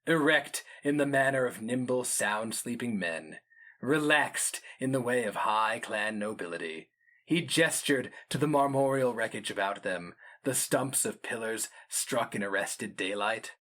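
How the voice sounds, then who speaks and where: A man's voice: mid-range voice, lively intonation, unhurried, a bit bright. Grave, theatrical male fantasy narrator. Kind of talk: dramatic audiobook reading of epic fantasy.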